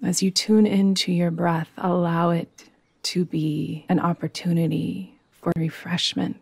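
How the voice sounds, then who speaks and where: Slow, low-pitched woman guiding meditation. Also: little pitch movement, gravelly, slightly rough, dry recording. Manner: soothing, gentle, unhurried, with long pauses. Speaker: yoga nidra meditation teacher. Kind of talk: guided meditation.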